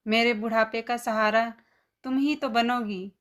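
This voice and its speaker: A woman playing a kind, indulgent mother-in-law in an animated family story, speaking gently and reassuringly. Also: fairly high pitch, a little slow. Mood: caring. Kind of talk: animated moral story dialogue.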